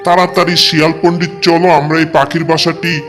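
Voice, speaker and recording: A man's voice: fairly high pitch, little pitch movement, brisk, fairly bright tone, faint music behind, natural voice. A man voicing a poor, sick, kind-hearted woodcutter who speaks earnestly and piously in a cartoon.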